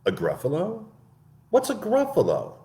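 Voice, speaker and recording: A hungry treetop owl from a picture book, coaxing and hooting, as a man reading aloud puts it on. The voice lifts high and wavers. It echoes in a roomy space. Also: huge pitch swings, moderate pace, warm tone. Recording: echoey room.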